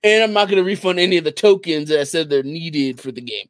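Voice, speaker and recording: A man with a voice a little higher than most co-hosts a podcast. He talks casually, with wry sarcasm and a jokey edge. The sound is close and dry. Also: fairly even intonation, quick pace, no echo. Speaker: podcast co-host. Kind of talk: casual banter.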